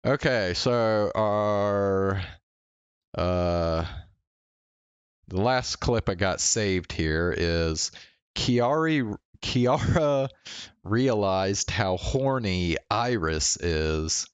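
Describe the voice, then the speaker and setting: Deep, smooth-voiced man, slow and unhurried. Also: animated, no echo, highs cut off. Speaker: streamer reacting to video clips. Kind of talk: casual livestream chat.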